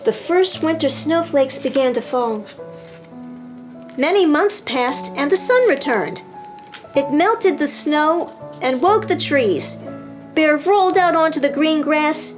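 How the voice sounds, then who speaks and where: Female children's storytime reader, high-pitched and expressive, music underneath. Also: takes it slowly. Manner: expressive, simple phrasing for young children. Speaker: children's librarian, storytime host. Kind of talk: children's storybook read-aloud.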